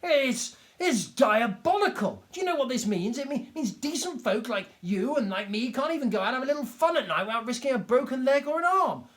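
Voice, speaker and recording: A man voicing an excitable little village doctor who talks in a high, loud, indignant rush and trips over his words. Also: fairly quick, few pauses, full character voice. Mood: outraged. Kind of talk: audiobook character voice.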